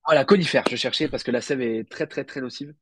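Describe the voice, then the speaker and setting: Homme enrhumé, hésitant, voix sèche. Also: male voice, mid-range voice, quick pace, a bit bright, slightly rough, no echo. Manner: hesitant, many fillers, searches for words. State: slightly congested from a cold. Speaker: guest isopod breeder. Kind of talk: casual livestream explanation.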